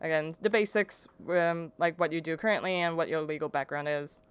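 Young woman, podcast host, smooth clear voice, dry close sound, chatty with fillers. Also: sits low, fairly quick, dry recording. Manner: chatty, uses "like" and short backchannels. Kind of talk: podcast interview.

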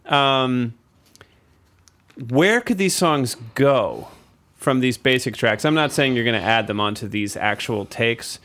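A man's voice: mid-range voice, slow, fairly bright tone. Jokey, easygoing man hosting. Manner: friendly, jokey, hesitant with fillers. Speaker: music producer host. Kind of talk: casual interview chat.

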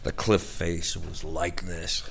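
An actor playing a confused, rambling war veteran of ninety-one in an audio drama. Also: male voice, sits low, flat delivery, slow pace, a bit bright, slight background noise, heavily acted. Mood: reminiscing. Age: 90s. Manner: rambling, drifting, gravelly.

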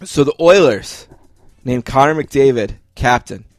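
A man's voice: medium pitch, animated, a little slow, dry recording, faint music behind. Male, North American accent, sports podcast co-host, mid-range voice, dry recording, opinionated, casual swearing. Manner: opinionated, swears casually, poses pointed questions.

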